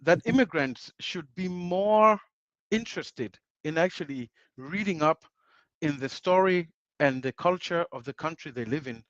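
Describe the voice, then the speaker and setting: A man's voice: a little high, lively intonation, measured pace, dry recording. Earnest man with dull lo-fi sound. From Uganda. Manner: earnest, restarts phrases, repeats for emphasis. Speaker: guest. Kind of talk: discussion on a panel.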